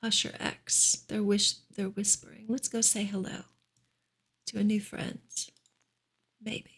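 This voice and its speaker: A woman speaking softly and gently in a breathy, whispery ASMR style, as a streamer reading and chatting to listeners. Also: medium pitch, flat delivery, a little slow. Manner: gentle, soft-spoken. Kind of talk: soft-spoken ASMR book reading and chat.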